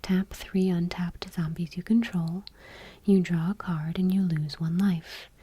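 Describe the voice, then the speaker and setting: A woman host speaking in a soft, breathy whisper, gently and without hurry, reading text aloud in ASMR style. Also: fairly low pitch, steady tone, measured pace.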